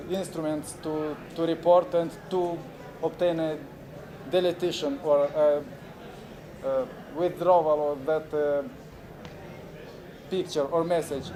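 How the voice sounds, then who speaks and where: A man in his forties from Moldova, a native Romanian speaker, presenting at a conference in halting English with many fillers and restarts. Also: fairly high pitch, a crowd in the background. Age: 40s. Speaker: moderator. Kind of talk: unconference talk. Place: conference room with audience. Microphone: handheld camera recording.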